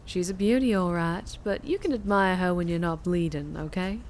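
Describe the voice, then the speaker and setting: A woman's voice: fairly low pitch, normal speed, slight background noise, natural voice. A woman playing a fierce, flirtatious vampire biker with a Southern US accent, teasing and protective in an intimate ASMR roleplay. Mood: teasing, reassuring.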